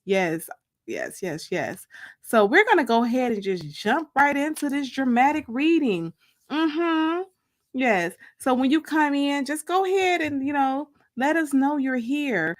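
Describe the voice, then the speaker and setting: An American woman with a fairly high voice hosts and reads her own writing. She takes her time, speaking very slowly in the manner of a livestream dramatic reading. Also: lively intonation. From Detroit, United States. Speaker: host and author reading her own book.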